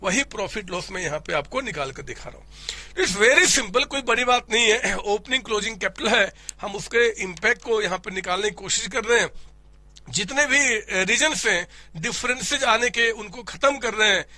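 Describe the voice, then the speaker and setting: A man from India teaching an accounting class in a playful, animated way, hoarse from a cold. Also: sits high, normal speed, no echo. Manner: animated, playful, addresses students as "my child"; voices students' questions himself. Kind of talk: classroom lecture.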